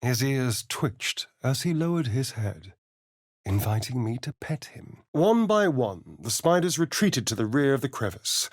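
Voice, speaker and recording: Vain sarcastic vampire rogue for a game, slow drawl, really lively pitch, dry close sound. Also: male voice, dry recording. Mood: hushed narrating. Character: sardonic, vain, scheming male vampire spawn rogue. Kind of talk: video game character voice lines.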